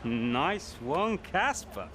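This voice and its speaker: A man's voice: on the high side, big pitch swings, extremely slow, background noise, full character voice. A man playing a sly rival racing driver in a video game, insinuating and falsely friendly. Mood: smug, confiding.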